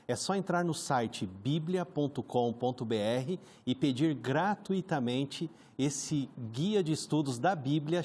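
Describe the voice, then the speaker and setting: A man's voice: medium pitch, darkish tone, breathy edge. A man with a Brazilian Portuguese accent hosting a conversational Bible study program, friendly and often chiming in with short agreements. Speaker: program host.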